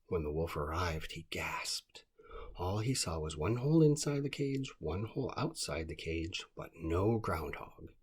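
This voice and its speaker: A man with a deep, strongly husky voice reads a children's story aloud. His delivery is calm scripted narration, made for bedtime listening. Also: a little slow.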